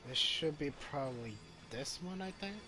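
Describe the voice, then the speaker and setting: A man's voice: a little high, expressive, normal speed, noisy background. A man giving casual live commentary on a game as a streamer, hesitantly thinking aloud.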